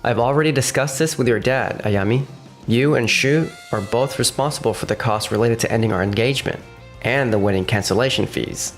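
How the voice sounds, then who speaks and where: A man voicing a calm, businesslike young male realty manager in a fan manga dub, who also narrates, measured and firm. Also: medium pitch, close to an everyday voice. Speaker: narrator and lead voice actor. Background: faint music.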